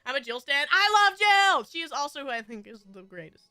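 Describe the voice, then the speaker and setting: Stuffy-nosed woman streamer, high and lively, giggly rambling chat. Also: brisk, dry recording, soft background music. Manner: bubbly, rambling, frequent giggles. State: stuffy nose. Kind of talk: casual chat with viewers.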